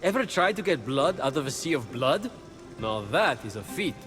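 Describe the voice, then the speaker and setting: A cheeky young god from a video game, full of flippant, wry patter. The voice sits high and swings animatedly, like a glib salesman, over loud music. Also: big pitch swings, normal speed.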